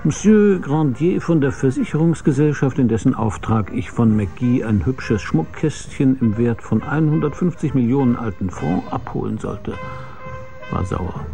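An actor playing a hard-boiled, world-weary private detective, narrating a radio drama in a dry, sardonic, laconic way. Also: male voice, medium pitch, expressive, moderate pace, vocal fry, mellow, soft background music.

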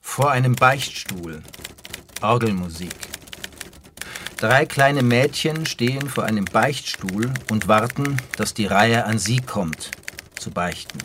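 A man's voice: lowish voice, animated, slow, a bit bright, slight background noise. A man reading scripted scene directions as a narrator in a radio play.

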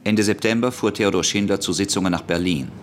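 Very deep-voiced male narrator. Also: fairly quick, over music. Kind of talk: scripted literary narration in a radio drama.

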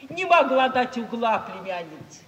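An actress playing a shrewd, warm-hearted provincial gentlewoman in an expressive, emphatic 1950s Russian radio theatre style. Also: on the high side, moderate pace. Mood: indignant. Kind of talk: mid-century Russian radio theatre acting. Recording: 1950s radio play, distant, echoey hall.

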